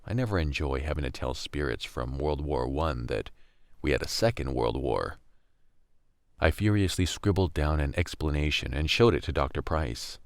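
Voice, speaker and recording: A man's voice: a bass voice, animated, moderate pace, no echo, clean studio sound. A man playing a jaded scavenger who narrates a radio drama in a wry, matter-of-fact, weary way. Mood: dry, resigned. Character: jaded male scavenger in a silent post-virus America, narrating.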